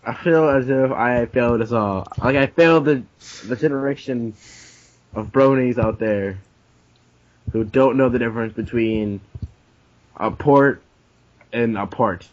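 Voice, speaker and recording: A man's voice: mid-range voice, unhurried, pauses often. A man giving casual, joking commentary as a co-host, with crude and sarcastic interjections. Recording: dull lo-fi recording.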